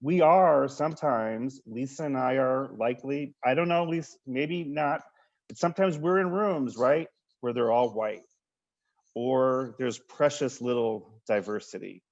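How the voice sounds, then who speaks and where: A man's voice: medium pitch, a little slow, highs cut off. A man speaking emphatically on a panel, hammering points with repeated phrases over a video call. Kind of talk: passionate panel discussion.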